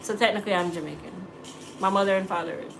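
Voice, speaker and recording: A woman's voice: medium pitch, fast, fairly bright tone, slight background noise, poor audio. A middle-aged American woman, a hairstylist, chatting casually with live-stream viewers, joking and teasing as she answers. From United States. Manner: joking, teasing, laughs often.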